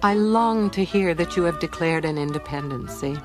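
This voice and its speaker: A woman playing a poised, earnest eighteenth-century wife, reading her letter in a genteel, measured way for a documentary. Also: lowish voice, over music, natural voice. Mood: earnest, gently firm. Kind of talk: dramatized historical letter reading.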